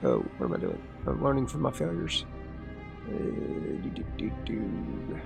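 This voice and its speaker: A man playing a solo tabletop game aloud, reading rolls and rules and reacting with excited asides. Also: mid-range voice, unhurried, creaky, over music. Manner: talks through dice rolls, excitable asides. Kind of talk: solo actual play, thinking aloud.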